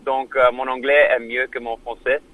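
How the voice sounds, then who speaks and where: Man speaking halting, French. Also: mid-range voice, level tone, no room sound, narrow, tinny audio. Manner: halting French with many fillers and restarts; non-native French. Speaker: musician guest. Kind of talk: radio interview.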